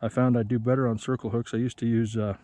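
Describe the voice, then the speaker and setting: A man's voice: medium pitch, level tone, quick pace, mellow, dry recording. A man casually explaining his ice fishing setup outdoors on a frozen lake, plainspoken and self-deprecating, recorded on a body-worn action camera. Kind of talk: casual how-to narration.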